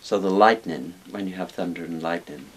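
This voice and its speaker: A man's voice: sits low, brisk, breathy edge. An older man from Wales explaining things casually and discursively as an interviewed expert, in a small book-lined room. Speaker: interviewed expert on Chinese dragons. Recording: hissy background noise.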